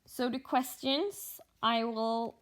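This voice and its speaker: A woman from Norway with a Norwegian accent, chatting casually in a vlog, pausing and thinking aloud, a little sleepy. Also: on the high side. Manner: halting, thinking aloud with fillers. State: just woken up, short on sleep. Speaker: stitching vlogger.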